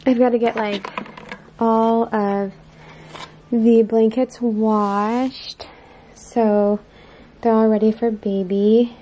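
A young American woman talks casually to her viewers as she potters around the house. Her voice sits fairly high, and she wanders from thought to thought informally. The handheld camera picks up clear background noise. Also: warm tone. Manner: informal, meandering, thinking aloud while tidying. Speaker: vlogger, mother preparing for a baby. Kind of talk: casual vlog chat to viewers. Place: inside a home, moving between rooms. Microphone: handheld camera mic.

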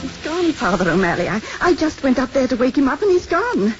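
An actress playing a devoted, fussing housekeeper in a 1950s radio drama. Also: female voice, sits high, big pitch swings, a little fast, over music. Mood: distraught. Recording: muffled 1950s radio broadcast.

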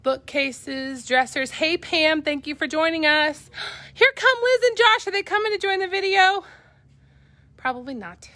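A woman's voice: high voice, expressive, measured pace, sharp tone, no echo. Upbeat woman with a Midwestern accent. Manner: upbeat, chatty, talking to live viewers while walking. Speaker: auction company staff. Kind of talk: live walkthrough of auction lots. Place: cluttered warehouse, indoors. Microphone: handheld phone camera.

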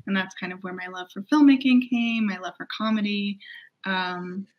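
A woman's voice: medium pitch, normal speed, dull audio. A young woman from New York in her twenties, talking about her web series on a video call in a casual, upbeat way. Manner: upbeat, casual with likes and kind-ofs. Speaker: web series creator and actress. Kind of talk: casual interview answers. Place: home room.

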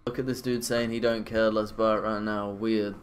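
A man's voice: on the low side, level tone, moderate pace, clean tone. A man in his twenties reacting casually on a stream, bantering loosely and profanely with his chat over a headset mic. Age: 20s.

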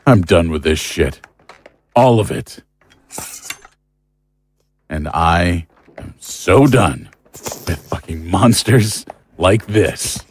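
Fed up tired dude in a horror audio drama, cursing, really slow, noise behind him. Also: male voice, sits low, animated, full character voice. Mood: furious. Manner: weary, exasperated, cursing. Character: exhausted, angry foul-mouthed man fed up with monsters.

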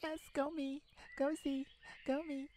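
A woman's voice: high voice, little pitch movement, a little slow, a little mellow. A woman playing a silly, affectionate, giggly and bashful young boyfriend in an ASMR romance roleplay. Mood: excited. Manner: giggly, bashful, hesitant, gushing. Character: silly, playful, affectionate young boyfriend surprising his partner. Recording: clearly noisy, ambient sounds behind.

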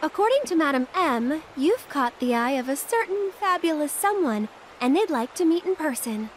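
A woman's voice: high voice, very animated, normal speed, slight background noise, poor audio. An actress playing a playful, self-assured young woman with a casual, teasing manner in a video game cutscene. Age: young adult.

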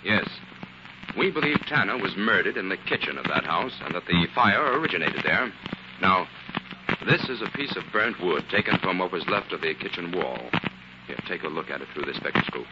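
An actor playing a calm, analytical scientist crime-fighter, explaining with assurance in a 1940s radio drama. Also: male voice, low voice, moderate pace. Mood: assured. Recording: muffled, very noisy 1940s radio recording.

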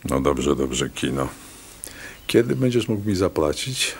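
An actor playing a haughty, menacing town doctor in a radio play, speaking in a condescending, ominous way. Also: male voice, a bass voice, big pitch swings, unhurried, slight background noise, full character voice. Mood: ominous, sly.

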